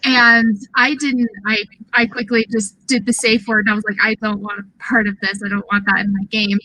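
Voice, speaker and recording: A woman with a bright, crisp-edged voice speaks in a casual podcast chat about games. Her pitch sits a little high and the sound is dry and close. She talks informally and haltingly, working out her words as she goes. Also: little pitch movement, no room sound, poor audio. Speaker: podcast panelist.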